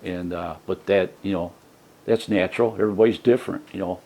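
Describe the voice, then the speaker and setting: Soft-spoken man with a very low, deep voice; a casual rambling vlogger full of fillers and restarts. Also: fairly even intonation, brisk, gravelly. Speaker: YouTube channel host. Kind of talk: casual rambling vlog talk. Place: trailer home. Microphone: desk mic set for a soft voice.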